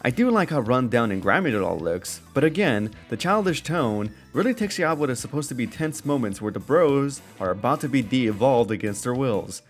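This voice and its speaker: Animated male film reviewer over music. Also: medium pitch, moderate pace, hardly any pauses. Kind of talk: casual scripted video-essay narration. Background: background music under voice-over.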